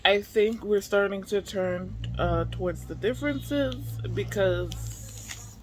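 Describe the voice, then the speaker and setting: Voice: mid-range voice, slow. A book vlogger of unclear sex chats casually in an informal, slangy way, musing aloud. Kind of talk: casual reading vlog chat. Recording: clearly noisy room ambience.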